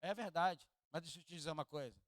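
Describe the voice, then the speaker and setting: A Brazilian pastor with a Rio de Janeiro accent and a fairly high voice preaches in a church. He swings between quiet, low asides and loud, lifted peaks, and he jokes with the congregation and asks them to repeat after him. The sound is close and dry, with little room echo. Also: fast talker. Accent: Carioca Portuguese. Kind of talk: sermon. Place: church hall.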